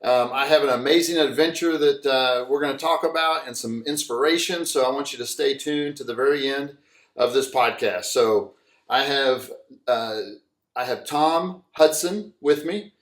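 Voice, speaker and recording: A man's voice: mid-range voice, normal speed, fairly bright tone, distant mic. A middle-aged man hosts a casual podcast interview, talking eagerly and rambling through his points with lots of fillers. He sits back from the mic, and the sound is roomy and echoey. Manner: enthusiastic, rambling, many fillers. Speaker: podcast host.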